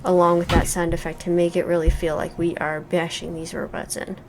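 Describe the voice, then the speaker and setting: Low-voiced woman musing over a game. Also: level tone, brisk, a little hiss or hum. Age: 40s. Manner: thoughtful, frequent ums and likes. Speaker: game reviewer. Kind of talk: casual let's play commentary.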